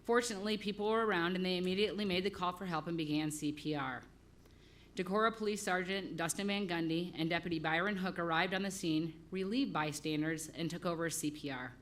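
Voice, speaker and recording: A woman police chief reading a formal recognition at a council meeting in a steady, factual way. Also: fairly low pitch, a little fast. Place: council chamber.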